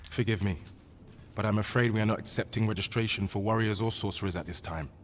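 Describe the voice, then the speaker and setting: Male actor as courteous fantasy game guild clerk, lowish voice, formal archaic wording, apologetic, long hall reverb. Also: quick pace, lightly acted. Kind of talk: scripted fantasy game dialogue. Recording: long hall reverb, noisy ambience behind.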